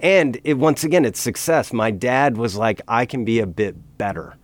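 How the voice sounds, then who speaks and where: A man's voice: medium pitch, expressive, moderate pace, no echo. A middle-aged man in casual podcast talk, earnest and emphatic, pressing his points with conviction.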